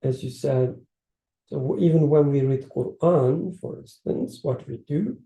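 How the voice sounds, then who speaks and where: Male discussant, unhurried and reflective, dark tone, roomy echo. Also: mid-range voice, creaky. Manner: thoughtful, hesitant, with fillers and restarts. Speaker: discussion participant, researcher. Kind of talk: casual study-circle discussion.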